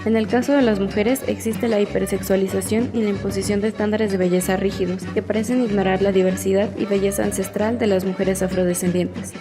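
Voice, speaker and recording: A woman reads documentary narration in a measured, informative tone at a mid pitch. Background music plays clearly beneath her voice. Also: fairly even intonation. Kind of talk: scripted narration.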